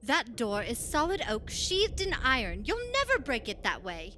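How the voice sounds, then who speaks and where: A woman's voice: on the high side, very animated, normal speed, very noisy. An actress playing a grave, devout woman in an old-time style radio horror drama. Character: grave, devout woman guide fearfully invoking God.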